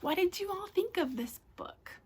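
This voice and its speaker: A woman reading a storybook aloud to children, expressively, often dropping to a hush and addressing her listeners. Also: extremely high pitch, animated, normal speed. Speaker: storybook reader. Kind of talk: children's read-aloud narration.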